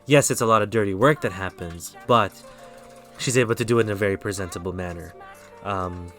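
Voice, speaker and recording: Laid-back American-accented man reading tarot. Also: on the low side. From Philippines. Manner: relaxed, rambling, self-correcting. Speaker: tarot reader streamer. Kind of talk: live-stream tarot reading.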